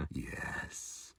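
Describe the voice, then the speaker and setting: A man playing a gloating alien villain in an audio drama, with a husky voice. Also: lowish voice, sharp tone, full character voice.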